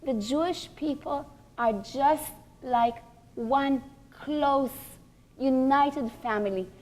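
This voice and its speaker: A woman's voice: sits high, slow, a little mellow. A woman giving a religious lecture, teaching emphatically, repeating key phrases and posing rhetorical questions.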